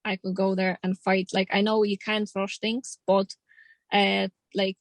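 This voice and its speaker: A woman's voice: medium pitch, flat delivery, unhurried, no room sound. A woman in her thirties from Poland with a Polish accent, a boxer speaking in a casual interview in plain, determined, slightly non-native English. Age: 30s. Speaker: professional boxer.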